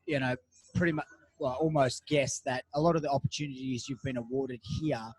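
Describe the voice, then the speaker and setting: Husky male podcast host chatting. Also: medium pitch, steady tone, a little fast, no room sound, poor audio. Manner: rambling, lots of fillers and restarts. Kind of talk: casual interview chat. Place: cafe.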